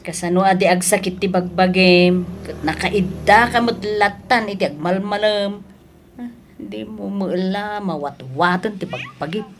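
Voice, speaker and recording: A woman playing a hardworking, exasperated girlfriend in a Filipino audio drama, with expressive delivery. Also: medium pitch, a little slow, slight background noise, lightly acted.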